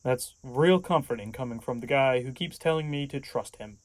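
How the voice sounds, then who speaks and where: A man's voice: mid-range voice, normal speed, no room sound, natural voice. A man playing a sarcastic, distrustful, impatient younger man in an audio drama, blunt and sardonic. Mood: wary, sardonic. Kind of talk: audio fiction podcast acting.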